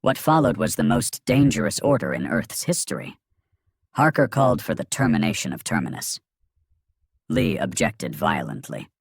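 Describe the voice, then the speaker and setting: A man giving a dramatic scripted narration of a science fiction story, grave and in clipped phrases with a gravelly, husky voice. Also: mid-range voice, wildly swooping pitch, normal speed, dry recording, studio quality.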